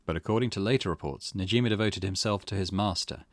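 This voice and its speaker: A man reading a visual novel aloud as a solemn, measured narrator of a dark occult mystery. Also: low-pitched, no room sound, natural voice. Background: game music.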